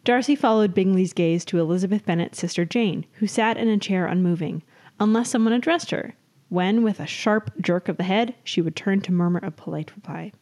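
A woman's voice: mid-range voice, fairly quick, a little mellow. A woman podcast host with a giggly manner, casually reading her own comic period story aloud. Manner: giggly, breaks into laughter while reading.

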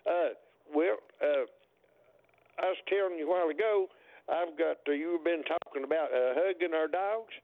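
A man's voice: fairly high pitch, normal speed. A man calling in to a radio show, friendly and hesitant, with many uhs. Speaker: caller. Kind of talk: call-in radio chat. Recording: tinny phone line.

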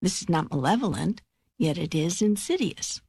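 A woman playing God as a wry, wise, reassuring spirit in an audiobook dialogue, with a measured, assured, instructive delivery. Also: lowish voice, animated, creaky, mellow, husky, no echo, clean studio sound, exaggerated voice. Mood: firm, insistent.

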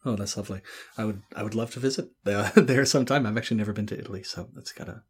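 A man's voice: lowish voice, very fast, slightly rough. A man hosting a podcast, asking questions in a friendly, conversational way. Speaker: podcast host. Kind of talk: podcast interview.

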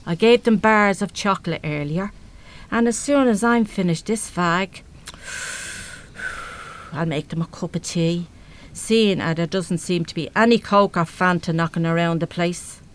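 A woman's voice: on the low side, a little slow, lightly acted. An actress performs a middle-aged Irish mother in a radio play. She is brash and salty, with blunt, colloquial working-class speech and a big heart underneath. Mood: breezy, bossy. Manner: blunt, colloquial, mildly sweary. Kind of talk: live radio play acting.

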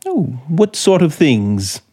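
An actor playing a brooding, manipulative scriptwriter in 1950s radio suspense style, probing deliberately with dramatic pauses. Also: male voice, a little high, huge pitch swings, mellow, breathy edge, full character voice. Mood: probing, wry. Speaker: actor in the lead role. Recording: 1950s radio broadcast, music behind.

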